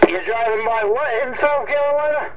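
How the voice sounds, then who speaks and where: A friendly man with a high voice makes courteous, casual CB chat. The sound is thin and tinny like a phone, with clear static behind it. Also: normal speed. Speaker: CB radio operator.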